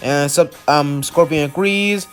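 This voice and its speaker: A man with a slightly high voice recaps a video-game character's history for his channel. He has a cold and rambles, leaning on likes and uhs. Faint music sits underneath his voice. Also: a little slow. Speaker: channel host.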